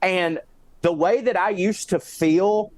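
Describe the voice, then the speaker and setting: Southern US male podcast co-host, slightly high voice, profane and self-deprecating rambler, close dry recording. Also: takes it slowly, darkish tone, no echo. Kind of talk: casual chat, personal anecdotes.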